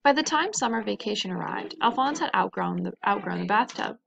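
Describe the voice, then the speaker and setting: A woman's voice: mid-range voice, lively intonation, a little fast, gravelly, a crowd in the background. A woman reading a children's story aloud in a narrating style. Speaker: storybook reader.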